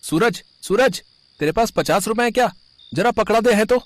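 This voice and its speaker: Guy doing a chummy young dude in a kids story, pitched up, super informal. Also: animated, normal speed, no echo. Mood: breezy.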